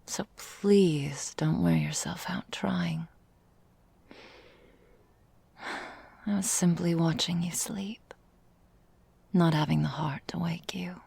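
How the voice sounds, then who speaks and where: Woman acting a slow, whispering, seductive vampire mistress. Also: on the low side, expressive, a little mellow, full character voice. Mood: soothing, menacing. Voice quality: breathy, husky whisper. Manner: slow, velvety, formal old-fashioned phrasing. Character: seductive, predatory ancient vampire mistress, tenderly menacing. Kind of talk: intimate ASMR roleplay.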